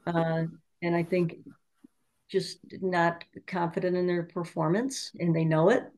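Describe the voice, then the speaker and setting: Panelist: woman, slightly low pitch, hesitant and halting. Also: flat delivery, darkish tone. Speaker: training panelist. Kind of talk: panel discussion.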